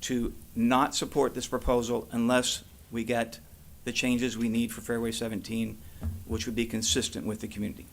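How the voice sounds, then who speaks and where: A man speaking for a community association at a city meeting into a podium microphone, measured and formal. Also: mid-range voice, little pitch movement, creaky, slight background noise. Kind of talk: public comment at city meeting. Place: city council chamber.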